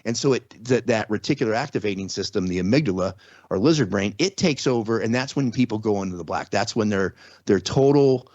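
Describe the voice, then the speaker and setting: A man's voice: on the low side, a little fast, a little mellow, no room sound, dull audio. A man speaking as a podcast guest, explaining things step by step in a casual, conversational way with everyday examples. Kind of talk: casual explanatory interview talk.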